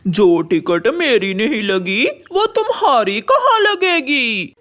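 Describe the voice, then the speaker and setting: A woman voicing a male crow husband in a children's cartoon, dismissive and excitable, loud and scoffing. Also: high-pitched, drawn-out words, full character voice. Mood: mocking.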